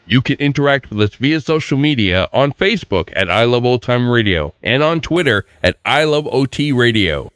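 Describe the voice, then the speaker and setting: A man's voice: mid-range voice, fairly bright tone, dry recording. Podcast host guy, friendly chatty intro, voice bounces around a lot, dry close mic. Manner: chatty, informative, a little hesitant.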